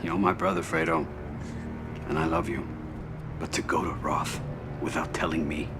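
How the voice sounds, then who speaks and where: A mafia don in a game cutscene. The voice is very low and American, grave and tightly controlled, every order measured, with soft music behind it. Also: male voice, level tone. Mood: cold, hurt. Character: cold, controlled mafia don, younger brother. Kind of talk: video game cutscene acting.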